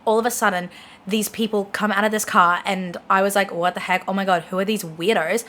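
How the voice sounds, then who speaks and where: Young woman podcast guest, bright tone, slightly nasal, giggly and animated. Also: mid-range voice, fairly quick. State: nasal from a sinus infection. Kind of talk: casual chat.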